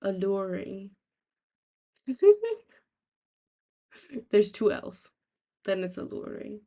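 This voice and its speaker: A young woman with a raspy, sore-throated voice, chatting casually to live stream viewers in a halting, rambling way. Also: medium pitch, flat delivery, warm tone. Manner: halting, rambling, thinking aloud. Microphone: laptop, without usual microphone.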